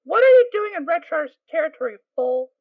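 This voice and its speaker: A woman's voice: very high-pitched, sing-song, brisk, dry recording, narrow, tinny audio, exaggerated voice. Woman playing a curt, impatient unicorn guard. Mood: suspicious. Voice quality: curt, suspicious. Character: stern, impatient armed unicorn mare. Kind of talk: amateur audio drama reading.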